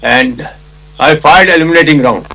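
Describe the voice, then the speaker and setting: An older man with an Indian accent tells a war story in a loud, commanding voice, his pitch sitting a little high for a man. He acts out orders and shouts from the battlefield and keeps clearing his throat between lines. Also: normal speed, low-quality recording. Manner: loud commanding voice, vivid retelling with acted quotes. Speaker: retired army officer recounting war.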